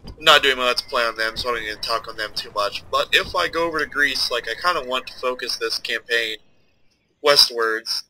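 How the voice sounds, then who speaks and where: A man's voice: mid-range voice, fairly quick, bright, forceful tone, slight background noise, poor audio. A young man with a stuffed-up, nasal voice, casually commenting while he plays a strategy game and thinks aloud. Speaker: let's play host.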